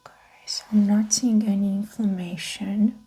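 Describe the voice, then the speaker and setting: Whispery, soft-spoken woman in ASMR doctor roleplay. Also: mid-range voice, fairly even intonation, husky, rough recording. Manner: gentle, unhurried, explaining each step. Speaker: ASMR performer playing a doctor.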